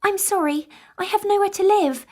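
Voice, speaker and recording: A woman voicing a sweet, timid young princess in a children's storybook, polite and gentle. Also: extremely high pitch, normal speed, voice pushed up. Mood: pleading.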